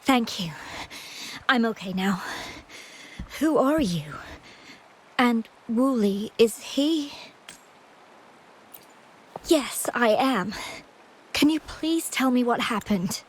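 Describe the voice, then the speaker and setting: An actress voicing a gentle, anxious, ailing young woman in a video game, speaking softly and politely with hesitant stammers. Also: on the high side, lively intonation, very slow, pauses often, a little hiss or hum, rough recording. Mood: shaken, polite.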